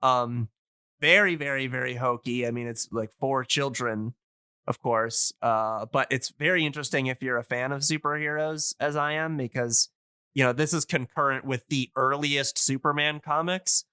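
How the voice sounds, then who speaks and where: A man with a bright, smooth voice hosts a casual podcast. He explains things like an enthusiast, wandering and nerdy in his phrasing. The sound is very dry, with no room around him. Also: medium pitch, steady tone, moderate pace, no room sound. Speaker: podcast host.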